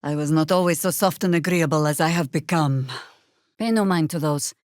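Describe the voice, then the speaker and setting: A woman voice actor playing a seasoned, older druid warrior in a video game, wry, stern and proud, with measured, commanding delivery. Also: low voice, no room sound, studio quality, natural voice. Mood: cool, guarded. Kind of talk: scripted video game dialogue.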